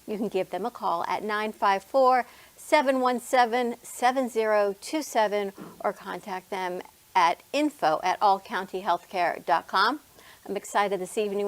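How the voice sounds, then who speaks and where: A woman hosting a health talk show, welcoming her guest in an upbeat way and asking questions. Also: mid-range voice. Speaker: host.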